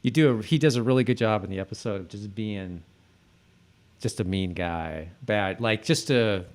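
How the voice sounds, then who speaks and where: A man co-hosting a podcast in casual chat, rambling and often correcting himself mid-sentence. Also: lowish voice. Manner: rambling, halting, self-correcting. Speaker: podcast co-host. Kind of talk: casual chat about a TV episode.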